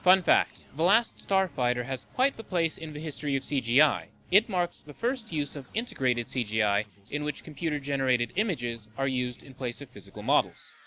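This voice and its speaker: Male list-show narrator: tongue-in-cheek, forceful bright tone, very animated delivery. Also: mid-range voice, moderate pace, hardly any pauses, rough recording. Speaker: host of a video game and movie show.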